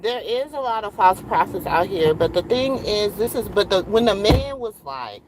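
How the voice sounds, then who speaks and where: A woman's voice: mid-range voice, animated, normal speed, noisy background. A middle-aged woman ranting on a casual livestream from a car, heated and rambling with many fillers. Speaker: livestream host.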